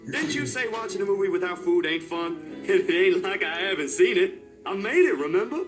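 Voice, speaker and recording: A man's voice: high-pitched, very animated, moderate pace, soft background music, pitched above the performer's own voice. Man doing a bouncy, easygoing young guy. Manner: casual drawl, bouncy put-on voice.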